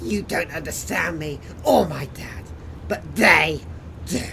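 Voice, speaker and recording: A woman's voice: deep voice, slow, creaky, a bit bright, slight background noise, heavily acted. A woman voicing a resentful young male traitor in a fan audio drama. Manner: defensive and resentful.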